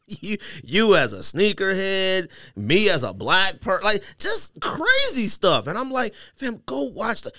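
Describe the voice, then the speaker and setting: Male podcast host, casual slangy storyteller who voices dialogue; highly animated, close dry sound. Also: dry recording.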